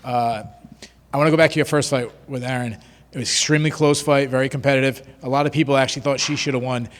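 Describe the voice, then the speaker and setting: A man's voice: medium pitch, fairly even intonation, a little fast. A man reporter asking direct questions, often opening with "uh". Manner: direct, begins with "uh". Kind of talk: press questions. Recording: echoey hall.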